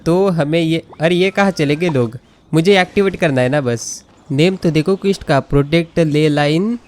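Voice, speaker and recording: A woman's voice: sits low, rapid-fire, a little mellow, a little hiss or hum. A woman with an Indian accent, a native Hindi speaker, doing casual, chatty gameplay commentary as a gaming YouTuber. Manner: chatty, laughs often, reacts to dialogue. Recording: voice over faint game music.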